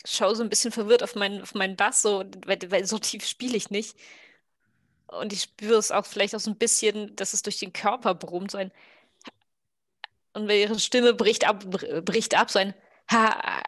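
A woman playing in a tabletop roleplay session, speaking in character with halting, exclamatory phrasing. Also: mid-range voice, fairly even intonation, no echo, clean studio sound. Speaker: roleplay player. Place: room at home.

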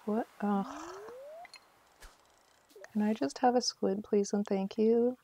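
A woman's voice: medium pitch, steady tone, slow pace, mellow. A woman giving casual live game commentary as a streamer, chatty and self-deprecating in her manner.

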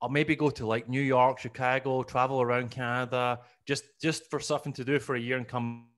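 A man's voice: medium pitch, flat delivery, fairly quick, fairly bright tone. A man in his thirties from Scotland with a Glaswegian accent, a comedian guest telling self-mocking stories in a casual interview, speaking into a studio microphone at home. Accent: Glaswegian Scottish. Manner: rambling, self-mocking, acts out his past self. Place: small room at home, video call. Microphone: studio mic on boom arm.

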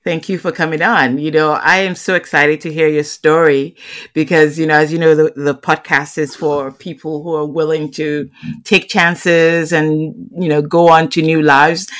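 A woman podcast host chatting casually and effusively with a guest, quick to react. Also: low voice, fairly bright tone. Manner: effusive, reacts with interjections and laughter.

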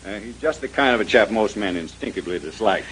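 An actor playing a fussy, opinionated museum doctor in a 1930s radio mystery, confiding and a little hesitant, with a husky voice. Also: male voice, on the low side, expressive, fast talker, a bit bright, a little hiss or hum, rough recording.

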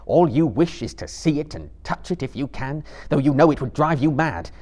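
A man's voice: on the high side, animated, normal speed, a little mellow, pitched above the performer's own voice. A man playing a stern, commanding young master in a dramatic book reading, solemn and emphatic. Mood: stern warning.

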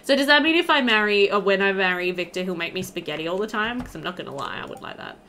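A woman in her thirties giving casual, chatty let's-play commentary, animated and thinking aloud. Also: medium pitch, fast, a little hiss or hum. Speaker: gaming video host. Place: indoor room.

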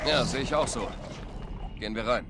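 A man's voice: mid-range voice, normal speed, very noisy, natural voice. An actor playing a young, commanding soldier who leads his squad with urgency in a video game. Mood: wary. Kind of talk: video game voice acting, German dub.

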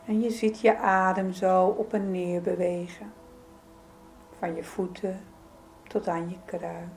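A woman leading a guided yoga nidra meditation in a soothing, gentle, unhurried manner. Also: on the low side, level tone, vocal fry, a little hiss or hum. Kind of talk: guided meditation, calm spoken instruction.

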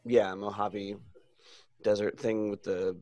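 A man in his thirties with a raspy voice, co-hosting a casual podcast chat from home. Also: lowish voice, little pitch movement, slow, warm tone, dry recording. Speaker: podcast co-host. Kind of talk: casual chat on a video call. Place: small room at home.

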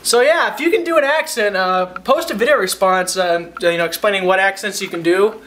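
A young man from Alaska, vlogging casually to a handheld camera in his living room, excitable and rambling. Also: high voice, slight background noise. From Alaska, United States. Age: young adult. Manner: excitable, rambling, quick asides. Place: living room of a house, indoors. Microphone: handheld camera at arm's length.

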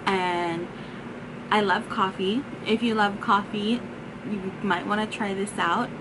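A woman in her thirties chatting casually through a home cooking and hacks vlog, upbeat and relaxed. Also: medium pitch, noisy background. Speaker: lifestyle vlogger. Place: home bedroom and kitchen.

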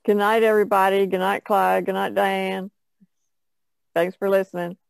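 A woman's voice: medium pitch, little pitch movement, normal speed, gravelly, clear, resonant tone, no echo. A woman chatting casually as a participant in a podcast discussion. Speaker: podcast co-host.

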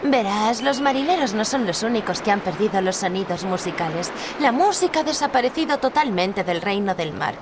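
A woman's voice: medium pitch, animated, a little fast, hardly any pauses, natural voice. A woman playing a sweet, eager young mermaid princess with a gushing, earnest manner in a video game. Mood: friendly, earnest. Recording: clear ambient noise behind.

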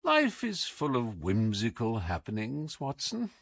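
A man's voice: mid-range voice, wildly swooping pitch, measured pace, creaky, a bit bright, no room sound, heavily acted. A man reading an audiobook as a whimsical, imperious detective with a British accent, theatrical, commanding and wry. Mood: animated, wry. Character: brilliant, whimsical, imperious male English detective.

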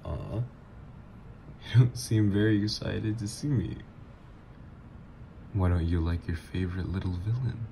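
A man's voice: low-pitched, a little slow, vocal fry, pauses often, mellow, slight background noise. A man voicing a teasing supervillain ex. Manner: teasing, playful, flirtatious. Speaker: voice actor. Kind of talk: ASMR roleplay audio.

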